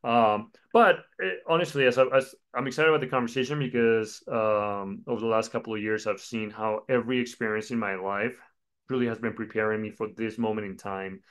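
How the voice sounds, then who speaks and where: Colombian-born man, smooth low voice. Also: a little fast. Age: 40s. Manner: relaxed storytelling with many fillers. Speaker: pastor. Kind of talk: podcast interview.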